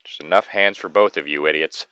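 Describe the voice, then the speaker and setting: A man's voice: very deep voice, fairly quick, bright, forceful tone, dry recording, highs cut off. A man reading a story aloud and breaking off into casual, joking, rambling, profane commentary. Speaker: reader and commentator of online stories.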